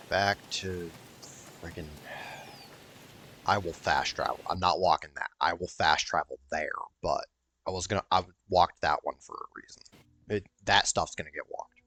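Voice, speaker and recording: A man with a very low voice commentating casually over a game. He rambles in a wry, loose way and swears now and then, with some noise in the background. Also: vocal fry, a bit bright. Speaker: gaming streamer.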